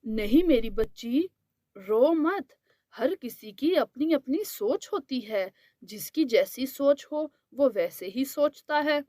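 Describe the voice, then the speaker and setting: Woman voicing a gentle village father, pitched down. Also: animated, slow, no echo. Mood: consoling. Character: gentle, loving village father, adult man.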